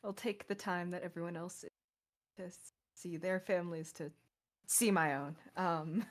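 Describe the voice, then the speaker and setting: A woman playing in a tabletop game, giggly and unhurried. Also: fairly low pitch, fairly even intonation. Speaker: player. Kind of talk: casual tabletop game play.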